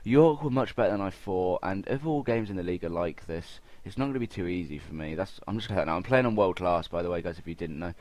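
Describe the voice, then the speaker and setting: A man's voice: deep voice, quick pace, few pauses, darkish tone. A young man giving casual, chatty gameplay commentary, talking informally straight to his viewers. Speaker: gaming video host.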